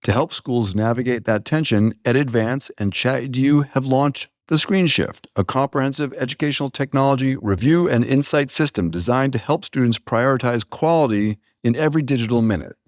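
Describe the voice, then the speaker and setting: A man's voice: mid-range voice, fairly quick, few pauses, no echo, studio quality. A man co-hosting a podcast, talking in a casual, explanatory and chatty way. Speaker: podcast co-host. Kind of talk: casual conversational podcast talk.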